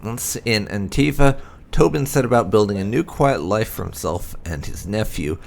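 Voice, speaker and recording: A man narrating fantasy game lore aloud, with deliberate, dramatic emphasis as a video host. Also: fairly low pitch, animated, creaky.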